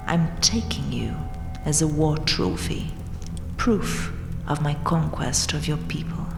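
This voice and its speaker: A woman playing a haughty, mocking vampire queen in a dramatic audio roleplay, taunting with theatrical mock sweetness and seductive menace. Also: fairly low pitch. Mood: commanding, smug. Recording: roomy, long hall-like reverb. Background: dark castle ambience music.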